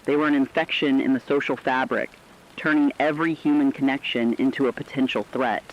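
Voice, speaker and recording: A man delivering scripted apocalyptic horror narration in a grave, measured storytelling manner. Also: mid-range voice, steady tone, highs cut off.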